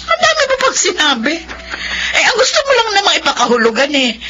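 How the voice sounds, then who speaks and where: A woman's voice: very high-pitched, wildly swooping pitch, normal speed, bright, forceful tone, dull audio, faint music behind, heavily acted. An actress playing a domineering, easily offended older mother-in-law in a Filipino radio drama, sarcastic and scolding. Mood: scornful, indignant.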